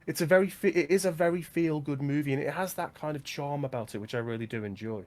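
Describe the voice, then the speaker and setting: A man co-hosting a film podcast, chatting casually with quick asides and frequent laughs. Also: fairly high pitch, darkish tone. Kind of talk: casual chat.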